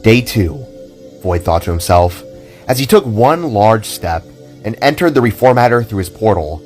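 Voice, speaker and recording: A man narrates a scripted story for an audio drama. His voice sits low and he tells it in a dramatic storyteller's style. Soft music runs behind him. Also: lively intonation, moderate pace. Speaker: narrator and writer.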